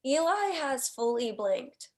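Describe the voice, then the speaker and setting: A woman with a slightly high voice narrates a screenplay. She reads scene headings and action as the stage directions reader at a table read. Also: sing-song, takes it slowly.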